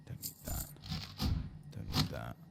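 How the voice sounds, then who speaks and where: A soft-spoken man murmuring his way through an ASMR game playthrough, in an unhurried manner. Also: over loud music. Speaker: gaming streamer.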